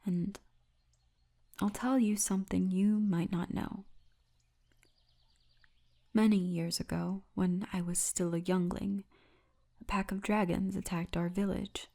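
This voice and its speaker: A woman voicing a friendly female orc tour guide in an intimate ASMR roleplay, speaking softly and often breathily. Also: medium pitch, level tone. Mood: gentle, earnest. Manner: soft, intimate, unhurried storytelling, often breathy. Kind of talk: ASMR roleplay, addressed to the listener.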